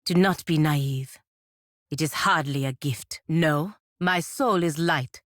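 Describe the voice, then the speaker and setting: An actress playing a proud, duty-bound godlike knight in a video game, speaking formally and gravely. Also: female voice, low-pitched, slow, no room sound, clean studio sound. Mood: dry, disapproving.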